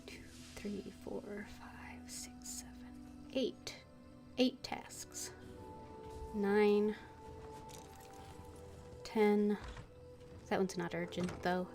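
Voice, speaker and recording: A woman streams a game in an unhurried, casual way. She often mutters to herself as she reads and thinks. Soft game music plays behind her. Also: medium pitch, flat delivery, creaky. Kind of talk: casual game livestream, voicing her character's lines.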